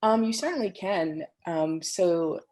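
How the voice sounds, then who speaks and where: A woman answering questions in an online webinar, explaining at a measured pace with frequent ums. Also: fairly low pitch, animated, dark tone. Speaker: program faculty answering questions. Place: home office on a video call. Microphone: computer microphone.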